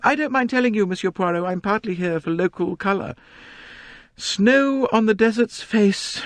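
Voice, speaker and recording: A man voicing a pompous middle-aged woman novelist with a high, complaining voice. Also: moderate pace, no echo, close to an everyday voice. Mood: gushing.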